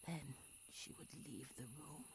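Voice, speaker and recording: A woman playing a mystical sea spirit who recounts a tragic tale theatrically in an amateur game fan dub, drawing out words between breathy whispers and loud swells. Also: low voice, a little slow, noisy background. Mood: hushed, sorrowful.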